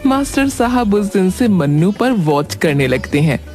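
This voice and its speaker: Female cartoon narrator, mid-pitched. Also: big pitch swings, measured pace, darkish tone, soft background music. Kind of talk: scripted cartoon story narration.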